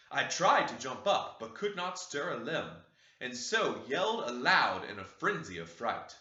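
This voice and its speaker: A man reading a classic novel aloud with dramatic expression and a lively, swinging pitch. His tone is bright and sharp. The room is echoey and the sound a bit muffled. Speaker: audiobook narrator. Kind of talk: scripted narration of a classic novel.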